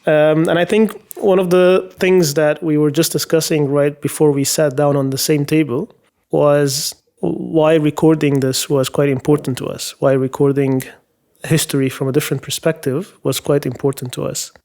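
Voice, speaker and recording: Guy from egypt hosting a podcast chat, kinda high voice, thinks as he talks. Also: little pitch movement, darkish tone. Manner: thoughtful, with fillers and pauses. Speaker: podcast host. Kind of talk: casual round-table chat.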